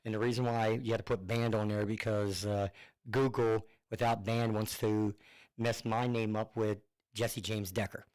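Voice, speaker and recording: A man's voice: fairly low pitch, level tone, moderate pace, a little mellow, clear, resonant tone. A middle-aged man with a northern US accent, a singer guest on a radio show, chatting casually and rambling with many fillers and restarts, heard over a phone line. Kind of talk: casual radio interview chat. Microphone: Bluetooth phone line.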